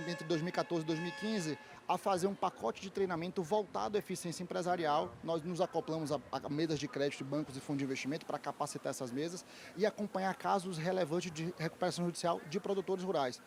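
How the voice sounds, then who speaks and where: A man in his thirties with a high voice speaks quickly and fluently, answering interview questions in a polished, articulate way. He is at a fair stand outdoors, with faint outdoor noise behind him. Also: fairly even intonation, creaky. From Salvador, Bahia, Brazil. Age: 30s. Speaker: lawyer and institute founder, guest.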